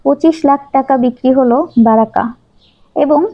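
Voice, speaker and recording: A woman reads a scripted children's story as narrator. Her voice is fairly high, and the recording is dull and lo-fi. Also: a little slow, noisy background. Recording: dull, lo-fi sound.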